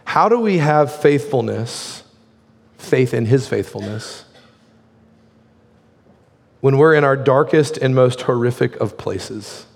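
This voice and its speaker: A male pastor preaches in a relaxed, conversational way, leaning on "like" as he talks. His voice is hoarse and gravelly and sits at an ordinary pitch. The sound carries a long reverb from a large hall. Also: slow pace, long pauses. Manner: conversational, frequent "like" fillers. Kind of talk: sermon.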